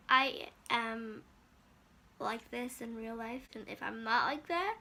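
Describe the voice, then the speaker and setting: A young woman with a slightly hoarse voice, chatting her way through a makeup tutorial at home in front of a close camera. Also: fairly high pitch. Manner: chatty and upbeat, quick asides. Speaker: beauty vlogger. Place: small room at home, indoors.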